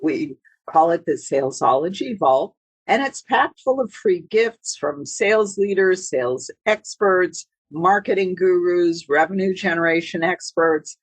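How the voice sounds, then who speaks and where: A woman hosts an interview podcast in a slightly husky voice. She is chatty and self-deprecating, with pauses and fillers as she talks. The sound is dry, with no room echo. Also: fairly low pitch. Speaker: podcast host.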